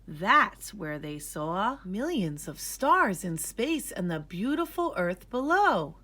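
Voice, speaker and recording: A woman reading a children's storybook aloud, with expressive, measured, careful enunciation. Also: medium pitch. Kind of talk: scripted read-aloud narration.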